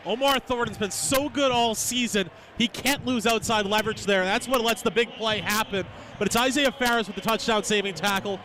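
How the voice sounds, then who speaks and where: A man's voice: high voice, steady tone, brisk, few pauses, bright, forceful tone. A man giving analytical, assertive color commentary on a live American football radio broadcast. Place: stadium game broadcast. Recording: live outdoor broadcast with background noise.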